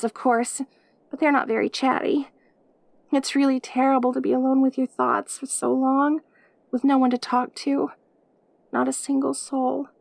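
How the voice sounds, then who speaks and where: Woman playing a high-pitched, perky, lonely demon girl. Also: no echo. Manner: cutesy, gushing, hesitant stammering. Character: perky, lonely, clingy caged demon girl, centuries old. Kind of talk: audio roleplay acting addressed to the listener.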